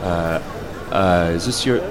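A man playing a brisk but awkward tournament official in improvised radio theater, with fumbling, clipped, repetitive speech. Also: sits low. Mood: businesslike, awkward. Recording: music playing over the voice.

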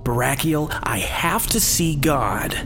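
An earnest, concerned archangel acted by a man in an audio drama. His intonation rises and falls freely at an unhurried pace, with music playing underneath. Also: on the high side, expressive. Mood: earnest pleading.

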